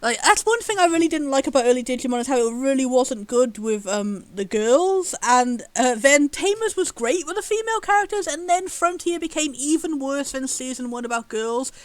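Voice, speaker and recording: The voice sits high and could be a man's or a woman's. It hosts in a loose, casual commentary style. Sentences halt, circle back and wander off into tangents as the speaker rambles along. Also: expressive, no echo. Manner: rambling, halting, full of tangents and chuckles. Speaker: host of a video series.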